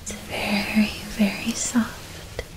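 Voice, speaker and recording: A woman performing an ASMR roleplay as a gentle, attentive witch, speaking in a soft, caring, descriptive whisper. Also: medium pitch, flat delivery, slow pace, natural voice, rough recording. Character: gentle, attentive witch outfitting an academy student.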